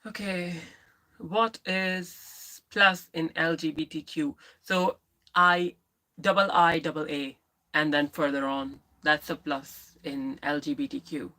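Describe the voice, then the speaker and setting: A woman's voice: low-pitched, fairly even intonation, takes it slowly, pushed and bright, poor audio. Role: live streamer. Brief: a woman with a lisp, candid and rambling, who restarts her sentences. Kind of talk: casual live stream chat, answering viewers.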